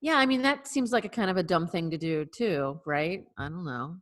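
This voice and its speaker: Low-voiced woman, agreeable co-host. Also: normal speed, a bit bright. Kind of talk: casual chat. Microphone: video call.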